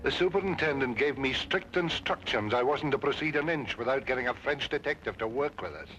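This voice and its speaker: A man's voice: medium pitch, animated, brisk, a bit bright, close to an everyday voice. A man acting a fussy, complaining police inspector with a hesitant, grumbling manner in an old detective drama. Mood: flustered. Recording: dull vintage soundtrack, music behind.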